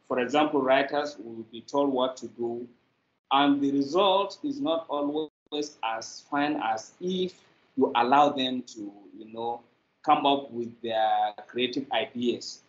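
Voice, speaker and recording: A middle-aged man with a Nigerian accent, giving conversational answers as an interview guest over a video call. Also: mid-range voice. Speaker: interview guest, media company representative. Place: indoor room, on a video call. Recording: echoey, muffled video call audio.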